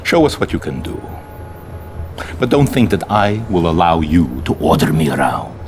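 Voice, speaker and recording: A man's voice: deep voice, sing-song, moderate pace, background noise. A man playing a haughty, threatening Soviet military commander, contemptuous in a video game cutscene. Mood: mocking, threatening. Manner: contemptuous, menacing.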